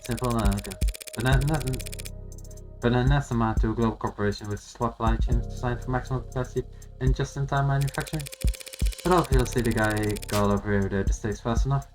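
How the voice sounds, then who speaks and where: A man's voice: mid-range voice, steady tone, a little fast, close to an everyday voice, poor audio. A man voicing a guarded, sardonic lawyer in a game, rambling drily through old memories. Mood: wry reminiscing. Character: wry, cynical, guarded lawyer telling old stories. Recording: music behind the voice.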